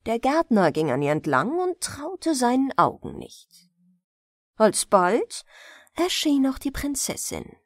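A woman narrating a bedtime fairy tale as a storyteller, calm, measured and expressive. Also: a little high, no room sound, clean studio sound.

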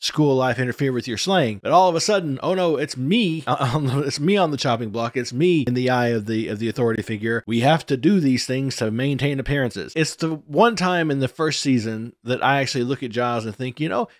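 Man, forties, casual commentator, close desk mic, dry sound, average pitch. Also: brisk, few pauses, dry recording. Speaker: reaction show host. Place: small indoor room. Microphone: close desk microphone.

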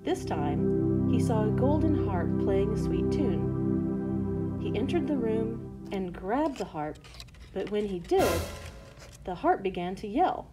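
A woman storyteller reading a scripted children's tale in measured phrases. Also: mid-range voice, very animated, loud music behind the voice.

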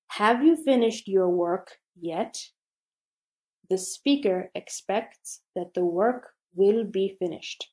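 A woman reading a scripted grammar lesson aloud, slowly and deliberately with pauses between phrases. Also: medium pitch, darkish tone. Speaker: English teacher.

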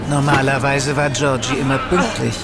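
A man's voice: mid-range voice, little pitch movement, moderate pace, over a noisy crowd. A man narrating a scripted audio drama. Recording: loud music and street ambience behind.

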